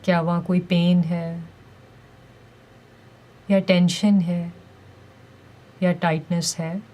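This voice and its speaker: Slow, low-pitched woman guiding meditation. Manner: calm, gentle, deliberate phrasing with pauses. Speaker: guided meditation instructor. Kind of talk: guided meditation, slow soothing instruction.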